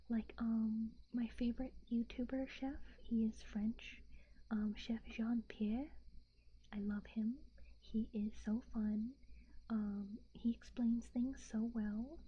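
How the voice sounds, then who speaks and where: A young woman from the United States whispering in a soft, rambling ASMR chat, with fillers and little giggles. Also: fairly high pitch, highs cut off, a little hiss or hum.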